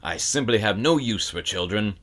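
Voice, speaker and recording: A man voicing a gruff, grumbling older shop owner who dislikes children, in a storybook reading. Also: lowish voice, expressive, moderate pace, a bit bright. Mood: grumpy ranting. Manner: growling, grumbling, brusque and scornful. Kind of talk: audiobook character acting.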